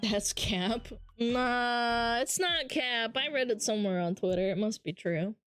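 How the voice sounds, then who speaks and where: A woman's voice: a little high, a little slow, fairly bright tone, clean tone, no echo, faint music behind. A woman with a nasal voice, chatting casually on a livestream and reading viewer comments in a playful, teasing way. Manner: playful, teasing banter with frequent laughs.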